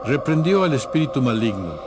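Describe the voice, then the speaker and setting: A man narrating a scripted scripture story in a measured storytelling manner. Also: medium pitch, very animated, music in the background.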